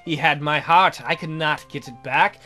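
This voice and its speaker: A man's voice: fairly high pitch, moderate pace, pushed and bright, faint music behind, voice pushed down. A man voicing a lovesick, miserable young woman who narrates her romance melodramatically in a visual novel. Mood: wistful, devoted. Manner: melodramatic, pining.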